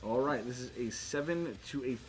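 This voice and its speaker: A man's voice: mid-range voice, moderate pace. A man acting as game master, casually narrating tabletop fight scenes in informal, sweary language. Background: guitar music.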